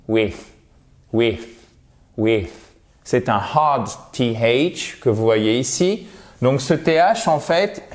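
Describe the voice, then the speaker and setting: A man's voice: medium pitch, a little mellow. A man teaching English vocabulary in a video lesson, patient and explanatory, a native French speaker who repeats words to model their pronunciation.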